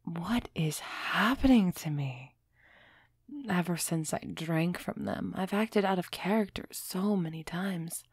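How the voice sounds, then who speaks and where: A woman playing an aloof, aristocratic vampire who is softening toward a human, in a measured, musing audio roleplay performance. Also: fairly low pitch, husky, no echo, studio quality, full character voice. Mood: troubled musing. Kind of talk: scripted audio roleplay acting, close and soft.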